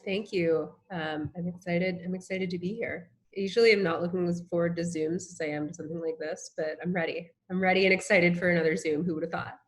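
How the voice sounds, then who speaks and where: A woman chats casually as a guest on a video call, her voice a touch low for a woman. She fills her sentences with ums and little laughs. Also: steady tone, brisk, few pauses. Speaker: strategist, guest.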